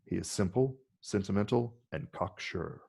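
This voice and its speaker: Deep, gravelly male script narrator. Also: normal speed, mellow. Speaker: narrator reading stage directions.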